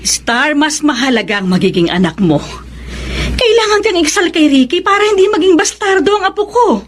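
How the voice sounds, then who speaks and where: A woman's voice: sits high, very animated, a little fast, a little hiss or hum. An actress playing a controlling, insistent middle-aged Filipino mother in a radio drama. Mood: insistent, firm. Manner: insistent, commanding.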